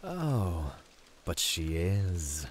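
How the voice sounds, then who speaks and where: A man's voice: low-pitched, sing-song, extremely slow, exaggerated voice. A man playing a smug, domineering demon with a British accent, teasing and purring theatrically in a flirtatious audio roleplay. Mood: seductive, amused.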